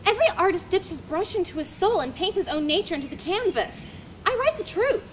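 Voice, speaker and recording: A woman's voice: very high voice, sing-song, fairly quick, a little hiss or hum. A woman reading the part of an earnest, solemn man who lives alone in the woods and writes, a little defensive. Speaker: reader in a dramatic reading.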